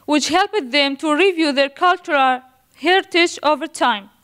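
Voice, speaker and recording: A young woman from Iraq gives a prepared academic presentation as a student, nervously and haltingly, stumbling over words as she reads carefully. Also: high voice, a bit bright. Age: young adult.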